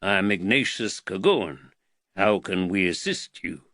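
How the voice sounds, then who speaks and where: A slight, courteous storybook gentleman with an American accent, played by a man. He speaks in a calm, soft drawl with clear, careful diction. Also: low voice, a little slow, dry recording, studio quality, exaggerated voice. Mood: calm, curious. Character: calm, courteous, slight bespectacled foreign husband.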